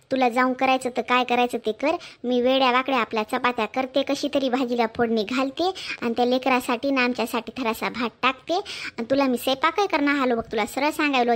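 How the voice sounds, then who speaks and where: A woman voicing a nagging rural mother who scolds her grown daughter with rapid, sarcastic talk. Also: high voice, fairly bright tone, pitched below the performer's own voice.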